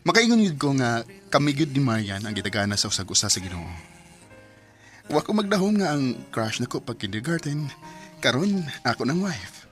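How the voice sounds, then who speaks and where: A man's voice: medium pitch, big pitch swings, moderate pace, faint music behind. A man narrating his own love story for a radio drama in a sincere, grateful way.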